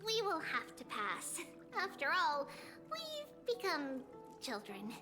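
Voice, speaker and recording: A woman's voice: high-pitched, huge pitch swings, takes it slowly, music in the background, full character voice. A woman voicing a small, cheerful childlike girl demigoddess in a video game, with a playful, lilting delivery.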